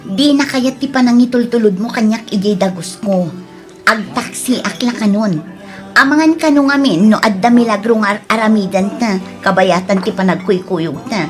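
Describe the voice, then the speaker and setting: A woman acting a softly persistent, pleading mistress in an Ilocano audio drama, with a Filipino accent. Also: medium pitch, normal speed, soft background music, natural voice. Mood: confiding.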